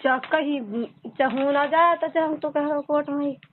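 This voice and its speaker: A woman's voice: high-pitched, moderate pace, a little hiss or hum. A woman with a Mewati accent chatting informally in rustic dialect on a recorded phone call. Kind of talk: casual private phone chat. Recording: tinny narrow phone line.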